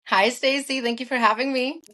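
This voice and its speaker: A woman from Armenia, a physicist guest on a podcast, speaking in halting, reflective phrases with restarts. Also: high-pitched, fairly even intonation, sharp tone. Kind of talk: casual interview chat.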